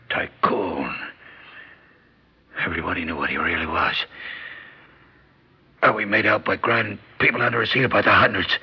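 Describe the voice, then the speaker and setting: Dying old American gangster, low husky rasp, curt, bullying, menacing, radio drama, muffled lo-fi sound. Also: male voice. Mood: insistent. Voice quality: gravelly, husky. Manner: tough street talk, bullying and curt. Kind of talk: classic radio drama acting. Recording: dull lo-fi radio drama recording.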